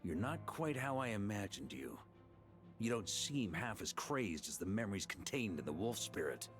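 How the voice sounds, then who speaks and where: Expressive, resolute veteran knight. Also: male voice, fairly low pitch, normal speed, music in the background. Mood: wry, composed. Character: weary, resolute veteran knight, wry and defiant. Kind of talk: dramatic video game acting.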